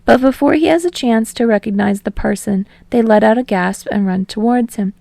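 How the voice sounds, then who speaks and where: A woman's voice: fairly high pitch, normal speed, gravelly, mellow, no room sound. A woman reading fiction aloud as an audiobook narrator, in a scripted storytelling style.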